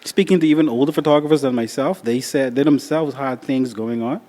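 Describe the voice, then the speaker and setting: A man hosting a photography podcast, chatting in a relaxed, reflective way. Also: mid-range voice, fairly quick. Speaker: podcast host. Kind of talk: casual interview chat.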